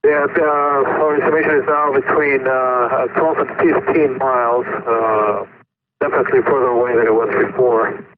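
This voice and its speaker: A male airline pilot reports over a thin, phone-like cockpit radio with clear static. He talks in a casual, matter-of-fact way, hesitating as he relays what he observes to air traffic control. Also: medium pitch, fairly even intonation, normal speed. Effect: cockpit radio transmission. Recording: tinny narrow radio link, heavy static.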